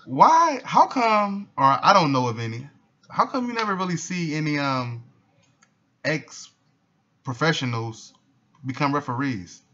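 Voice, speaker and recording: Male interviewer, bright edgy tone, relaxed slangy delivery, casual sports talk on a dull phone call. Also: on the high side, measured pace. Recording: dull, noisy phone line.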